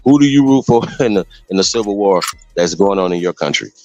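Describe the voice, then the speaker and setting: A man's voice: deep voice, lively intonation, a little fast, muffled recording, background noise. A man from the American South on a livestream panel, cutting in bluntly and insistently. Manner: blunt, cuts in insistently, swears. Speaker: panel member.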